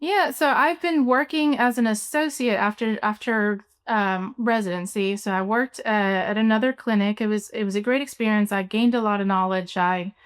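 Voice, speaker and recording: A young adult woman from Cuba, an orthodontist, answering interview questions in a relaxed way with many fillers. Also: medium pitch, normal speed, few pauses, clear, resonant tone. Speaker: orthodontist guest.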